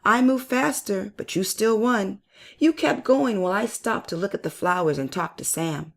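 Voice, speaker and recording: A woman's voice: mid-range voice, animated, normal speed, gravelly. A woman voicing a boastful, eager young male hare for a children's storybook. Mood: rueful. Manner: bragging, exclamatory.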